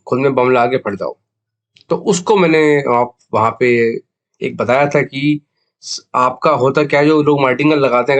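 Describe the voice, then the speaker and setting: An Indian man talks as the host of an explainer video. His pitch sits in the middle range, and his throat is sore. He explains in a conversational way, switching between two languages. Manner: conversational Hindi-English code-mixing, explanatory. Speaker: video host, cricket betting tipster. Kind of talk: casual explainer monologue.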